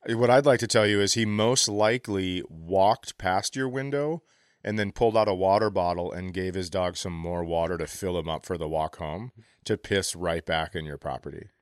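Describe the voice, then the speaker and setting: A man's voice: low-pitched, moderate pace, no room sound, studio quality. A man riffing in casual comedic banter, teasing and crude, reasoning out absurd points as a podcast co-host.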